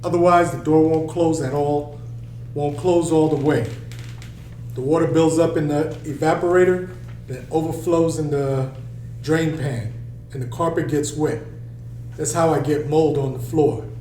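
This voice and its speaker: In an echoey room, away from the mic, a man reads the part of a stern middle-aged father who runs a restaurant. His voice is mellow, and he speaks as a caring but scolding parent at a script table read. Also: fairly high pitch, steady tone, unhurried, lightly acted. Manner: scolding, then fatherly and reassuring. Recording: echoey room, distant mic, faint hum.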